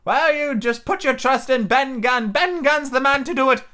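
A man voicing a half-crazed old male castaway, eager and sly, in a high, cracking old-sailor voice. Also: moderate pace, pushed and bright. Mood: shrewd. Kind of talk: amateur read-aloud character voice.

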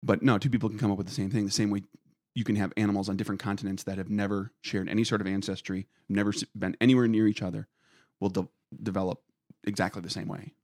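A man's voice: lowish voice, flat delivery, quick pace, darkish tone, no echo, studio quality. A man co-hosting a podcast, chatting casually and joking with frequent laughs. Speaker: podcast co-host. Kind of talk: casual chat. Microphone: studio microphone.

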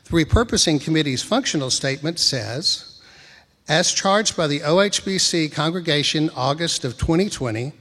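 A soft-spoken man, reading a prepared committee report to a church congregation at a podium mic. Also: a little high, animated, moderate pace. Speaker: church committee member presenting. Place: church sanctuary. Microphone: podium microphone. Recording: long church reverb.

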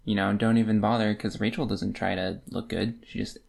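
A man's voice: on the low side, flat delivery, fairly quick, creaky, darkish tone. A man co-hosting a podcast, recapping and discussing a book casually with a wry, playful manner. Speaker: podcast co-host.

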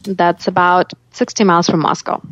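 A woman's voice: fairly low pitch, little pitch movement, normal speed, lo-fi sound, background noise. A woman with a Russian accent telling reflective personal stories as an interview guest, often voicing her own thoughts aloud. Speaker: life coach, podcast guest.

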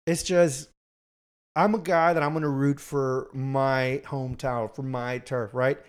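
A man in his twenties, chatting casually with a friend. He swears freely and repeats words as he gets excited. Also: mid-range voice, a little slow, clean tone. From Houston, Texas. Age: 20s. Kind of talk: casual chat.

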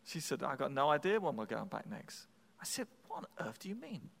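A man's voice: medium pitch, very animated, brisk, vocal fry, fairly bright tone, big-room sound. A man preaching, telling a personal story in a conversational, self-deprecating way with frequent restarts. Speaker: pastor. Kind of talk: sermon storytelling.

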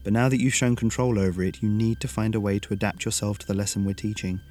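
A man's voice: lowish voice, fairly even intonation, fast, darkish tone, faint music behind, close to an everyday voice. A man voicing a patient, encouraging male teacher in a story. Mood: reassuring.

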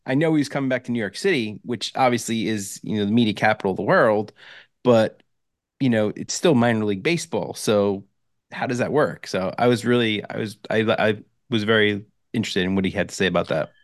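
A man's voice: on the low side, brisk, no echo, clean studio sound. A man co-hosting a casual sports podcast, rambling a little as he thinks aloud. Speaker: podcast co-host.

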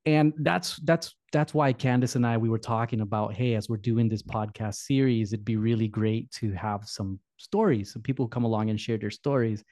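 A man's voice: fairly low pitch, normal speed, hardly any pauses, a little mellow, no echo. Friendly man hosting a podcast, dry sound. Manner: friendly, rambling, repeats words. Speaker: podcast host. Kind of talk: casual interview.

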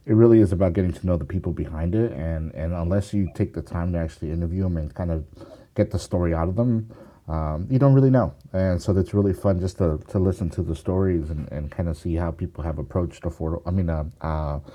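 A man's voice: deep voice, quick pace, dark tone. A man who produces a podcast, talking casually with many restarts and fillers.

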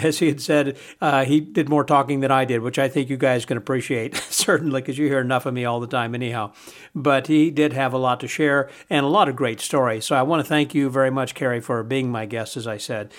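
Man in his seventies, podcast host, friendly and easygoing sign-off. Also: mid-range voice. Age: 70s. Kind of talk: casual interview and closing remarks.

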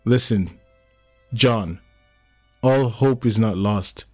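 Audiobook role: grave, measured male friend, careful delivery, low pitch, light music bed , read by a male narrator. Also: darkish tone, slightly rough, exaggerated voice. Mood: soothing. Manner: solemn, careful. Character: solemn, measured male friend bearing bad news. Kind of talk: dramatic audiobook character acting.

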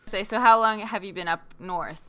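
A woman's voice: mid-range voice, moderate pace, pushed and bright. A woman interviewing a guest in an upbeat, enthusiastic, casual manner.

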